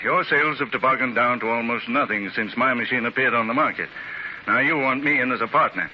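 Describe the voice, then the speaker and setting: Actor as a blunt, self-assured rival industrialist; 1930s radio, muffled lo-fi. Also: male voice, medium pitch, a little fast, close to an everyday voice. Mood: smug. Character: confident blunt male business rival. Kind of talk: 1930s radio drama acting. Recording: dull faintly hissy 1930s transcription.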